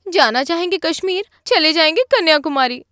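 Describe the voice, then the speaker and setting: A woman voicing a playful, suspicious young sister in an animated story. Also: very high voice, moderate pace, dry recording, studio quality, voice pushed up, full character voice. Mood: curious, teasing.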